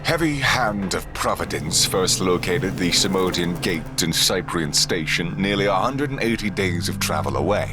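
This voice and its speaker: Male narrator for space horror drama: low-pitched, solemn, expressive range, music bed. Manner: ominous, solemn. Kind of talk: dramatic scripted sci-fi audio drama narration. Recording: loud music under the voice. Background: cinematic music.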